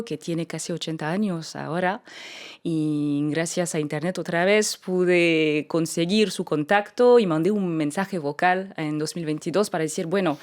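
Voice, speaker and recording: A French singer with a French accent, answering in a radio interview, lively and searching for words with many restarts. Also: female voice, on the low side. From France, French Alps.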